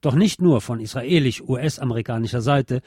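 A man's voice: mid-range voice, fairly quick, a little mellow, slightly rough, dry recording. A man hosts with scripted political commentary, in a mid-range voice. He drops sardonic asides into the reading. The sound is dry, with very little room in it. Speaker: host.

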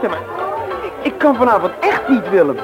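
A defensive young man in a radio play. His high voice jumps about as he hesitates and protests, with crowd and music behind. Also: big pitch swings, a little fast. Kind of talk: Dutch radio drama acting.

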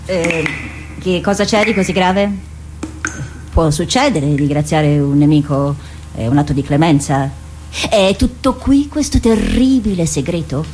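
A woman's voice: sits low, unhurried, darkish tone, a little hiss or hum. A woman playing a hard, pragmatic, sarcastic comrade-in-arms in a radio drama, challenging and impatient with mocking questions. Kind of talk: theatrical radio drama acting.

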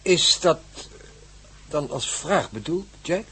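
A thoughtful professor in a radio drama. His voice is slightly high and husky. He speaks in a measured, inquiring manner. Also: expressive, a bit bright, exaggerated voice. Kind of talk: radio play acting.

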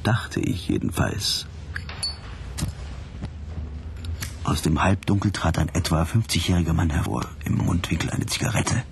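Sardonic hardboiled detective narrator, radio play, really low voice, dry and bored. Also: male voice, very animated, normal speed, vocal fry, background noise, exaggerated voice. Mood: sardonic, suspenseful. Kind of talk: radio play first-person crime narration.

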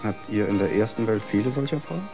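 A man's voice: fairly low pitch, expressive, fairly quick, mellow, soft background music. An actor in a German radio drama playing a dreamy, stubborn young man, the defiant son of a family. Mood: wondering.

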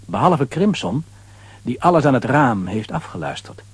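A man narrating a children's audio drama as an animated storyteller. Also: medium pitch, normal speed.

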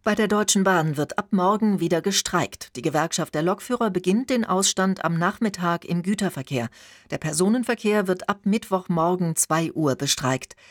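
A woman's voice: fairly low pitch, normal speed, no echo, clean studio sound. A woman with a standard German accent reads scripted radio news in a formal, factual manner as the anchor.

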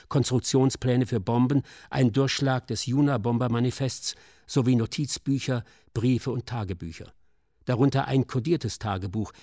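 A man's voice: medium pitch, mellow, dry recording, studio quality. A man reading a scripted, factual documentary narration for radio.

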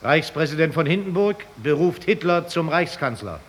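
An actor playing a sober 1930s news announcer, delivering grave bulletins formally and emphatically in a radio drama. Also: male voice, a little high, animated, normal speed, slight background noise, lightly acted.

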